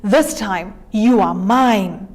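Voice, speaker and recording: Huge ferocious male lion played by a woman, storybook villain, roaring, menacing, drawn-out delivery. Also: mid-range voice, a little mellow. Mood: gloating menace.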